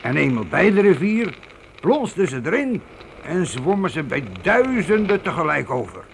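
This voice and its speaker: Gravelly, animated frontier storyteller. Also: male voice, fairly high pitch, normal speed, slight background noise. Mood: reminiscing. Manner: reminiscing, emphatic. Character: seasoned frontier man spinning campfire buffalo tales. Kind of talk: Dutch radio play storytelling.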